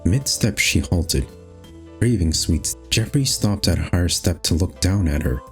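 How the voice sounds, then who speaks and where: Deep-voiced man narrating a spooky story. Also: expressive, a little slow, soft background music. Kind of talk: scripted storybook-style horror game narration.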